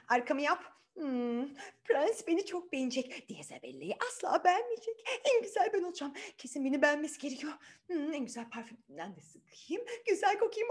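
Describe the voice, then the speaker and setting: A woman's voice: extremely high pitch, pitched above the performer's own voice, full character voice. A woman voicing a vain, bossy, spiteful young stepsister from a fairy tale, gushing with sighs and exclamations. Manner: gushing, self-admiring, full of sighs and exclamations.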